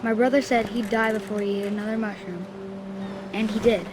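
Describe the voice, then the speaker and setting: A woman's voice: medium pitch, fairly even intonation, brisk, background noise, natural voice. A woman playing a solemn young boy in a game, telling of his brother in a plain, reflective way. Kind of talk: scripted storytelling narration. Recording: music behind the voice. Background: prominent music.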